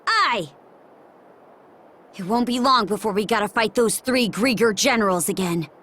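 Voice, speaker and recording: A woman's voice: a little high, animated, moderate pace, a bit bright. An actress playing a brash, confident young seafaring warrior woman in a video game, with a breezy, cocky, slangy way of talking. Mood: upbeat, confident. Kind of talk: video game cutscene acting.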